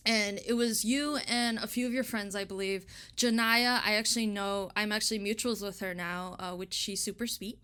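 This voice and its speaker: A woman with a mid-range voice chats casually as an interviewed illustrator. She is enthusiastic and quick to giggle, with a self-deprecating streak in how she talks. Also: medium pitch, fairly even intonation, bright, forceful tone, clean tone.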